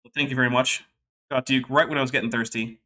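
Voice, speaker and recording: A man from the Boston area hosts a casual podcast. His voice is bright and clear, and his talk runs in quick, explanatory bursts. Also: medium pitch, steady tone. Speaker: podcast host. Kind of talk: casual commentary.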